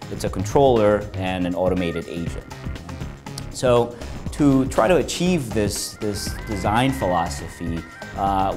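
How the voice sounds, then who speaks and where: Male researcher, 30s, lowish voice, halting explanatory delivery. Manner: measured, with fillers and restarts. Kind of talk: explaining to camera. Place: dark studio with display screens.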